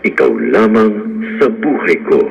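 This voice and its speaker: Very deep, husky male radio drama announcer. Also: lively intonation, normal speed. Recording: dull lo-fi radio sound.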